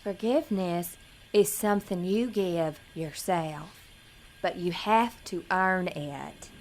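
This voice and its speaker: A woman's voice: on the low side, slow. A woman playing a naive, earnest young pageant contestant in an audio drama, prim and melodramatic in manner. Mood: solemn, earnest.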